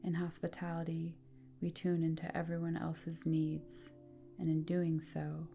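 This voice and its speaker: Slow, low-voiced woman guiding deep relaxation. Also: creaky, faint music behind. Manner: calm, soothing, deliberate phrasing with long pauses. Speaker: yoga nidra guide. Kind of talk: guided relaxation meditation, scripted narration.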